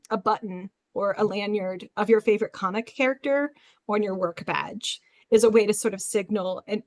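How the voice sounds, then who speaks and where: A woman's voice: mid-range voice, dry recording. A woman speaking informally as a librarian in a panel discussion.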